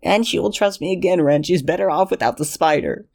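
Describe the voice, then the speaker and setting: A man voicing a panicked, unhinged young man who pleads and whines in a high, strained voice. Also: lively intonation, brisk, gravelly, a little mellow. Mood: pleading.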